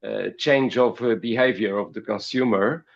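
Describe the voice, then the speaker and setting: A man from Portugal with a Portuguese accent, giving interview answers as a podcast guest in a halting, hesitant way, often repeating words. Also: on the low side, vocal fry, highs cut off. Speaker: podcast guest, company founder.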